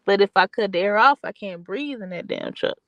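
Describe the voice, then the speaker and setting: A woman's voice: mid-range voice, a little fast, no room sound, dull audio. A tired, slightly stuffy woman, rambling casually in a late-night chat. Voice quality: soft voice. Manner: soft-spoken, rambling with restarts.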